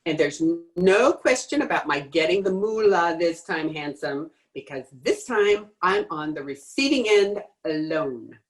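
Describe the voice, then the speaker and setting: A woman's voice: lowish voice, expressive, unhurried, hardly any pauses. A woman playing a tough, defiant swindler in an old-time radio crime drama, slangy, sneering and cocky. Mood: cocky, taunting. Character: brassy, defiant female swindler, tough and mocking. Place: home recording over video call.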